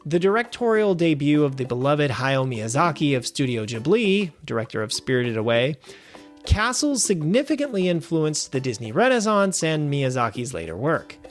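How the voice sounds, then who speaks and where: A man hosting a film review show, reading a scripted review with wry, animated asides. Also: a little high, moderate pace, soft background music. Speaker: film review podcast host.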